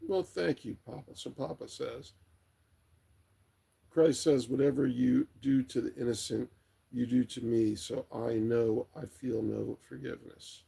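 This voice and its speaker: A middle-aged man with a raspy, tired late-night voice, hosting a livestream in a rambling, relaxed way as he chats and reads comments aloud. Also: mid-range voice, measured pace. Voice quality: raspy, gravelly. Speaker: livestream host. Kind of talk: casual chat, reading viewer comments aloud.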